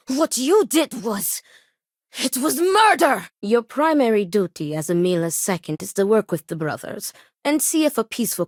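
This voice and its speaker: Actress as stern dutiful woman, video game dialogue, formal precise phrasing, medium pitch, dry close studio sound. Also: dry recording, close to an everyday voice. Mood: alarmed, angry. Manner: formal, precise, clipped phrasing without contractions.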